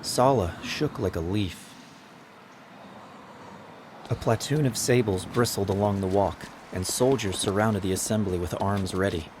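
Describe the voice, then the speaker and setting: A man narrating a dramatized audiobook in a scripted, dramatic storytelling style. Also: lowish voice.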